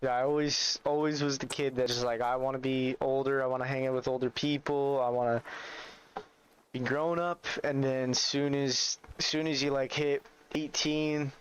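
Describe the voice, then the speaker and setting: A young man in his twenties with a lilt talks easily to his viewers between hunts. His voice is smooth and clear, pitched in an ordinary middle range, and his manner is relaxed and chatty. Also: normal speed, low-quality recording. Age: 20s. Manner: laid-back, chatty, reads and answers chat. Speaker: streamer. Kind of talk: casual livestream chat while gaming.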